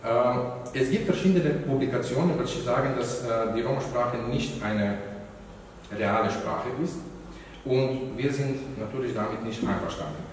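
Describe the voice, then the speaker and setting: A middle-aged man whose first language is Romani, opening a lecture event in German with many pauses and fillers, searching for words, recorded from a distant microphone in an echoey hall. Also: mid-range voice, slight background noise. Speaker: event moderator. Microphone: far room microphone.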